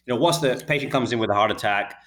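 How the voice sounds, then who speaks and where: A British man in his thirties answering interview questions about his company, talking quickly and often repeating words as he restarts. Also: medium pitch, a bit bright. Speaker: healthcare data company founder.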